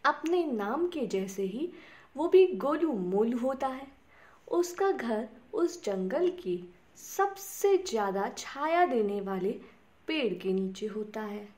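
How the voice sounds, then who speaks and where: A woman's voice: fairly high pitch, very animated, takes it slowly, darkish tone. A woman narrating a scripted bedtime story for children, speaking to them directly in simple words. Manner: addresses small children directly with simple questions and repeated words.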